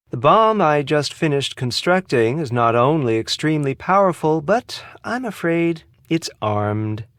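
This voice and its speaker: A sardonic American man as a spa receptionist in a video game. His delivery is theatrical and snide, with pitch that swings widely. The sound is close and dry, with no room around it. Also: no room sound. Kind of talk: video game character dialogue.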